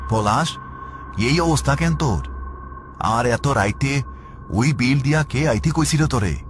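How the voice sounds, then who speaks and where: Male actor as middle-aged village uncle, grave, scolding yet protective, medium pitch, rural Bangladeshi Bengali dialect, clear background music. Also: expressive, a little slow, close to an everyday voice. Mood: stern scolding.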